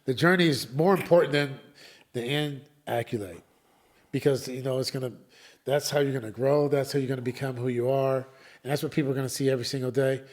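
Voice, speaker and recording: Fast-talking emphatic male podcast host. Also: mid-range voice, steady tone, a little mellow. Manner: emphatic, repeats key words. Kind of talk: casual chat.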